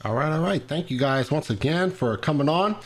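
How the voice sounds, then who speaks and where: Casting: easygoing male show host, thirties, fairly high voice, casual filler-heavy delivery. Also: animated, brisk. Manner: laid-back, says "man" often. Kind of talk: casual livestream hosting.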